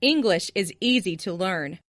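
A woman reading short phrases for language learners, repeating each one as a clear model. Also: fairly low pitch, lively intonation, measured pace, fairly bright tone, no echo. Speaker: language lesson speaker.

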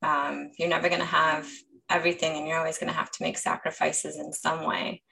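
Woman host, low gravelly voice, stop-start admiring questions. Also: level tone, rough recording. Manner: warm, admiring, halting questions. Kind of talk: casual interview.

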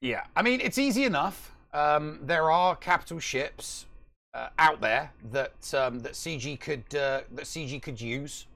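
Guy chatting about games on a podcast, gravelly fry in the voice, rambles and hammers his points. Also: fairly high pitch, expressive, measured pace, sharp tone. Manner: rambling, emphatic, repeats himself for stress. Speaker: podcast co-host. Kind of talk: casual gaming chat.

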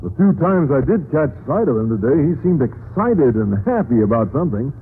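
An actor playing a naive, polite biology teacher in an old radio sitcom, speaking with hesitant earnestness. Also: male voice, medium pitch, very animated, fairly quick, natural voice. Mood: puzzled. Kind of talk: 1940s-50s radio sitcom acting. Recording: noisy, narrow-band old radio recording.

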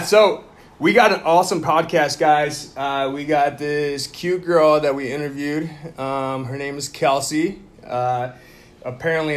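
A man in his thirties from Mexico, co-hosting a podcast in a loud, jokey, casual chat and laughing often. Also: fairly high pitch. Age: 30s. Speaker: podcast co-host.

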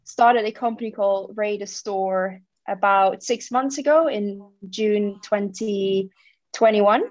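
A woman from the Netherlands, a guest chatting casually in an interview and pausing often on "um". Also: mid-range voice, dry recording, highs cut off. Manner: thoughtful, with frequent "um" pauses. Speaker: guest, company founder.

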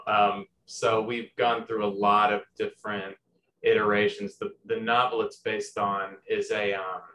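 Young man from Southern Indiana, low voice, rambling casual interview answers, faint background noise. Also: fairly bright tone, low-quality recording. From Southern Indiana, USA. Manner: rambling, with many fillers and restarts. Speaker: musical theater writer.

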